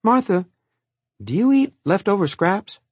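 A man voicing a shy, polite young boy who speaks gently. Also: sits high, big pitch swings, a little slow, slightly rough, no room sound, pitched above the performer's own voice, full character voice. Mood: timid. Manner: timid, polite.

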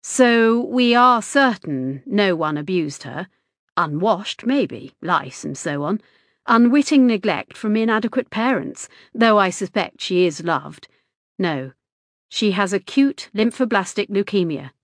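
A woman's voice: mid-range voice, animated, unhurried, no room sound, clean studio sound. A woman reading the lines of a composed, precise middle-aged male hospital consultant in an audiobook, with a clipped, formal manner.

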